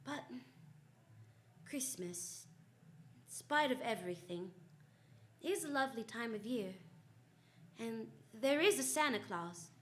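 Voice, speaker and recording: A woman's voice: fairly high pitch, expressive, slow pace, long pauses, a little hiss or hum. A woman playing a confident, wry female private detective who narrates and acts in old-time radio style. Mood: fond, wry. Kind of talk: 1940s radio detective drama, first-person narration.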